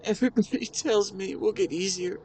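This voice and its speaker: A man's voice: high voice, a little mellow, a little hiss or hum, natural voice, poor audio. Man acting a tender grieving husband, birdsong behind. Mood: grieving, anguished. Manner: halting, earnest, emotional delivery with pauses. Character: grieving, tender widowed husband talking to his late wife. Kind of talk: intimate dramatic audio roleplay monologue. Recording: outdoor ambience with birdsong behind. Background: birdsong and nature ambience.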